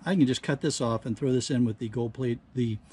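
A man giving casual hands-on demonstration commentary, halting and thinking aloud as he works at a workbench. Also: mid-range voice, a little mellow. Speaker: host of a scrapping how-to channel. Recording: clear background noise, handling clicks.

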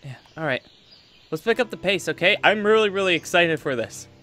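A man playing an excitable, curious teenage son who is new at an academy, talking casually and eagerly in a Minecraft roleplay. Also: sits high, brisk, soft background music. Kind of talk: improvised Minecraft anime roleplay.

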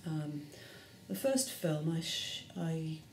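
A woman in her forties, an artist, describing her short films haltingly, with many pauses and fillers. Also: sits low, lively intonation, a little mellow, a little hiss or hum. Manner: hesitant, many ums. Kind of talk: casual explanation of her films. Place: room with bare block walls. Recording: echoey room.

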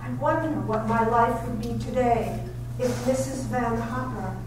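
A woman's voice: fairly high pitch, unhurried, natural voice. Woman acting a timid young companion, echoing hall. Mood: wistful. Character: timid, polite young lady's companion, wistfully narrating. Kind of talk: 1930s radio drama acting and narration. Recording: very echoey hall, distant, noisy.

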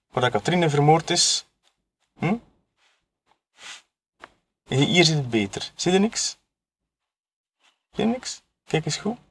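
A man acts out a cocky, shady Flemish photographer who deals on the side in an audio murder mystery. His male voice sits a little high. He talks in loose colloquial speech, smugly taunting and justifying himself. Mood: conspiratorial, insistent. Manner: colloquial, taunting, tag questions, chuckling self-justification. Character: sleazy, cocky male photographer and drug dealer, smugly mocking.

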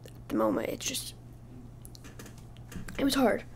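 Hoarse, whispery woman podcast host. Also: a little high, a little hiss or hum. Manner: halting, breathy, often drops to a whisper. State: hoarse, lost voice. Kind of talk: casual solo podcast talk.

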